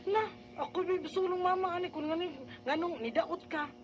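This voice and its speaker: A woman's voice: very high-pitched, brisk, highs cut off, background noise. A woman playing a concerned, scolding elder sister with a Filipino Bisaya accent in a radio drama. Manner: nagging, admonishing.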